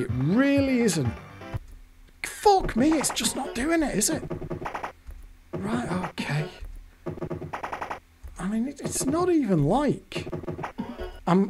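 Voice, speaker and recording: Man, British accent, hoarse, exasperated, sweary, animated pitch, music in background. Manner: rambling, exasperated, swears often. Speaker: video host. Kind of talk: live commentary while playing a fruit machine.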